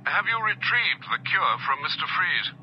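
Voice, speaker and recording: A man's voice: high-pitched, very animated, moderate pace, slight background noise. An actor playing a polite, concerned older male butler speaking over a radio link. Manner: formal, courteous. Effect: radio comms. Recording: dull radio transmission.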